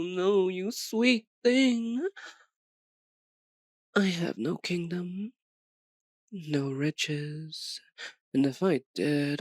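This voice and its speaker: A woman's voice: low voice, darkish tone, no room sound, studio quality, heavily acted. A woman voicing a centuries-old, sadistic female vampire in an ASMR roleplay, with a theatrical, condescending and menacing delivery. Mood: cruel, menacing. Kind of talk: ASMR roleplay, dramatic monologue.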